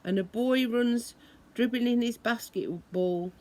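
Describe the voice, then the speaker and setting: A woman reading a children's story aloud in a deliberate, expressive way, imitating the sounds it describes. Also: fairly high pitch. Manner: deliberate, acts out noises expressively.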